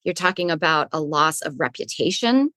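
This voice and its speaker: A woman with a lowish voice talking through her ideas as an interview guest. She explains with care and circles back over her phrases as she goes. The recording is dry and close. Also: animated, normal speed, a bit bright, dry recording. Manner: thoughtful, restarts phrases while explaining. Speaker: resilience coach, guest. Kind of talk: conversational interview answers.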